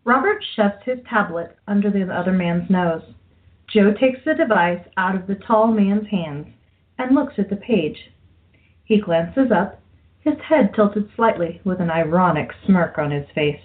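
A woman's voice: mid-range voice, animated, measured pace. A woman narrating a radio play, reading out scenes and stage directions from a script. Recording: muffled, narrow phone-like audio.

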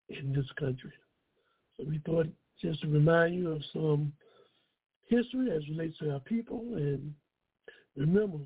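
A male radio host opens a political talk show in a fairly high, husky, slightly hoarse voice. He goes phrase by phrase with deliberate emphasis, over a narrow phone-like line.

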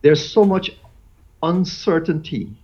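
A man in his fifties from Guyana, talking as an interview guest in a halting way with many fillers and restarts. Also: fairly high pitch, a little mellow. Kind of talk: casual interview chat. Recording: dull lo-fi audio.

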